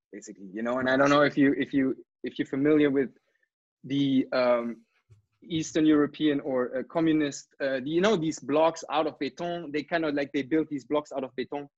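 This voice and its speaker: A man's voice: mid-range voice, dry recording, highs cut off. A man in his thirties from East Germany with a German accent, chatting informally as an interview guest and often saying "you know.". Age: 30s. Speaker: podcast guest, breakdancer. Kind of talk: casual interview storytelling.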